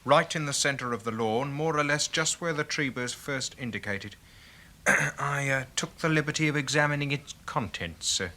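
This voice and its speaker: A man's voice: mid-range voice, normal speed, hardly any pauses, sharp tone, lightly acted. A man playing a dutiful, deferential and hesitant police constable in a 1970s radio play. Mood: hesitant, reporting.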